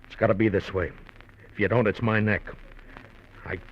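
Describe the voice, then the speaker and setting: A man playing a low-voiced pushy gangster. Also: little pitch movement, moderate pace, natural voice. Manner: pushy, blunt, tough-talking. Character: desperate, pushy small-time gangster on the run. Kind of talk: 1950s radio drama acting. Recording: muffled vintage radio broadcast with faint hiss.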